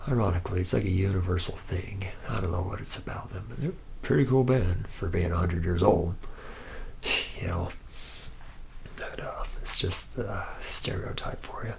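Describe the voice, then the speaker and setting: A man's voice: moderate pace, a little mellow, a little hiss or hum. A man playing a jaded, boozy factory worker in an ASMR roleplay, rambling in a hesitant, sardonic drawl that often drops toward a whisper. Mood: laid-back, sly. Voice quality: gravelly, low, partly whispered.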